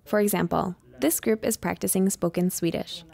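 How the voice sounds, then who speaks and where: A woman reading a scripted informational voice-over in an even, measured way. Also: mid-range voice, creaky. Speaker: voice-over narrator and interpreter.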